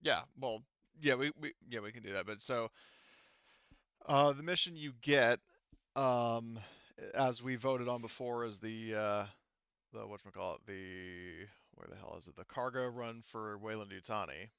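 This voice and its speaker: Man, game master, casual tabletop chat, informal, smooth voice, slightly dull recording. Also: medium pitch, measured pace, a bit bright, no room sound. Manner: informal, sweary.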